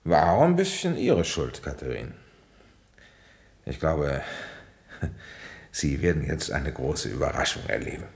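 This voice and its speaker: A man acting as a methodical police inspector in a radio play, speaking in a measured, confiding way. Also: a bass voice, very animated, creaky, husky, heavily acted. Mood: firm. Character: methodical male police inspector, musing and dutiful. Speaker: radio drama actor, narrator and lead. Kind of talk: German radio play narration and dialogue.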